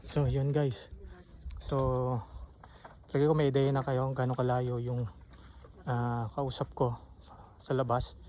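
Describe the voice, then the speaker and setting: A Filipino man narrating a casual outdoor walk-through in an informal, halting way, as if thinking aloud. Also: mid-range voice, level tone, darkish tone. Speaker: vlogger. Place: outdoors on a rural farm trail. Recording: outdoor ambient noise.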